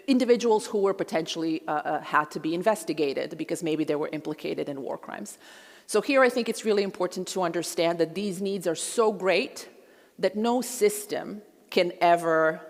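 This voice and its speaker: A middle-aged woman from Croatia giving a measured public lecture, with frequent ums and uhs. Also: on the low side. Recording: long hall reverb.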